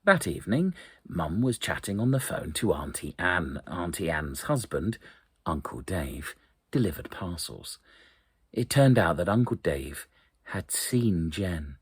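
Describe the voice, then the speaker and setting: A man with a very low, strongly breathy and husky voice narrates an eerie tale. He takes his time, phrasing deliberately and leaving suspenseful pauses, while his intonation moves expressively up and down. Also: dry recording. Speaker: story reader, storyteller. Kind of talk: dramatic narration of an eerie short story.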